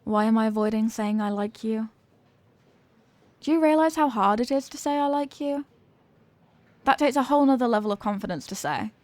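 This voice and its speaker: A woman's voice: a little high, a little mellow, no room sound, lightly acted. A young woman acting a flustered, coy popular cheerleader girl with a secret crush, in an intimate ASMR romance roleplay. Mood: flustered, embarrassed. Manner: coy, flustered, dramatic, with hesitant stammers.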